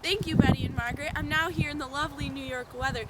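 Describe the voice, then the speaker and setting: Echoey, music behind. 1920s roving reporter played by a woman, high voice, scripted amateur interview style. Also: steady tone, fast talker, heavy background noise, lightly acted. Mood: upbeat. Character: bright-mannered female 1920s field news reporter. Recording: roomy distant mic, music behind.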